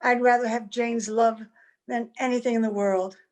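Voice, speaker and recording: A woman acts out a wistful, ageless young heroine of an old-time radio play. She is tender and earnest, with a fairly high, gentle voice. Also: fairly even intonation, normal speed, lightly acted.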